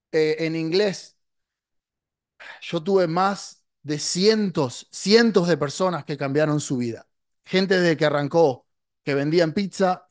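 A man's voice: high voice, dry recording. Blunt, emphatic man, dry close mic. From Latin America. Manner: blunt, emphatic, gestures a lot, drops English words. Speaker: online marketing coach. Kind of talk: motivational talk to camera. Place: small dark studio, indoors. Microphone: podcast mic on boom arm.

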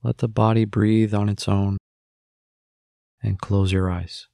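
A man narrating a guided meditation, giving gentle, unhurried instructions with long pauses between phrases. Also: lowish voice, flat delivery, vocal fry, no echo, clean studio sound.

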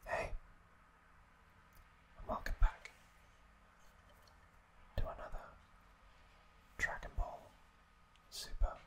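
A man hosting ASMR, narrating in a hushed whisper with drawn-out phrasing, close to the microphone. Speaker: ASMR host.

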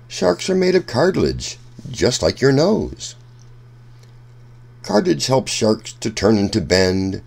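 A man reading a rhyming children's book aloud in a deliberate, sing-song storytelling manner. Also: fairly low pitch, vocal fry, a little mellow.

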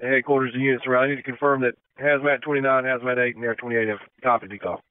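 A man's voice: medium pitch, steady tone, fast talker, smooth, dry recording. A man working as a fire dispatcher, relaying information to units over the emergency radio. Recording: dry, narrowband radio channel.

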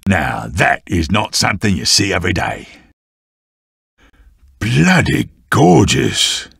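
An actor playing a brash, gruff Australian sniper in a video game, with a gravelly, raspy voice and cocky slang-heavy delivery. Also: male voice, low-pitched, wildly swooping pitch, takes it slowly, a bit bright, dry recording, clean studio sound, exaggerated voice. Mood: pleased, savouring. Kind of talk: video game character voice lines.

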